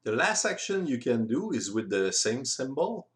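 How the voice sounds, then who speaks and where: A man explaining board game rules step by step in simple, deliberate phrasing. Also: medium pitch, big pitch swings. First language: French. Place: voice-over recorded separately from the video.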